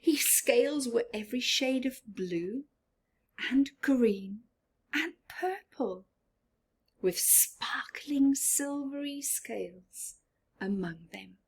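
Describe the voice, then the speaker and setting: A woman reading a story aloud to children as a teacher would, expressive and deliberate. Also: high-pitched, breathy edge. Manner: expressive, deliberate, questioning the listeners.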